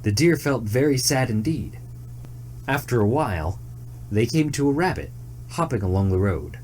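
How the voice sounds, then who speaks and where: A storyteller giving an expressive scripted narration of a fairy tale. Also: medium pitch, moderate pace, a little hiss or hum.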